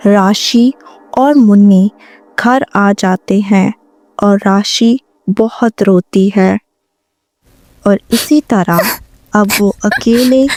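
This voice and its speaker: Woman storybook narrator, very slow, dark warm tone. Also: medium pitch, dry recording. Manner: very slow, measured telling.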